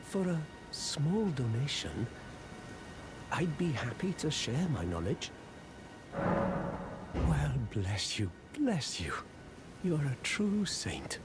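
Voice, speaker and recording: Fantasy game role: hapless apprentice sorcerer, meek and apologetic, very lively intonation, music underneath. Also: male voice, on the high side, slow, pauses often. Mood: meek, grateful. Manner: apologetic, self-deprecating, hesitant. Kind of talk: video game character dialogue.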